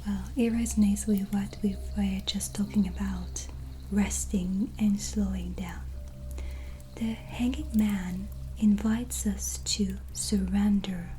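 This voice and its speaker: Slow, whispery woman in soft-spoken ASMR. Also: medium pitch, fairly even intonation, slight background noise. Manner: slow, gentle, soothing, with pauses. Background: soft relaxing music.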